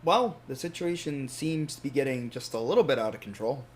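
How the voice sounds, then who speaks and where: A man's voice: medium pitch, animated, a little fast, natural voice. A man playing a resigned, reflective ordinary man facing the apocalypse, musing hesitantly in an understated, informal monologue. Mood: uneasy, resigned. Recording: background noise and ambience.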